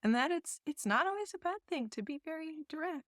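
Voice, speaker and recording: A woman from New York, a festival founder, talking through an interview in a soft, diplomatic way and laughing often. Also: high voice, expressive, a little fast, no echo, studio quality. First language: English. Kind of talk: casual interview chat.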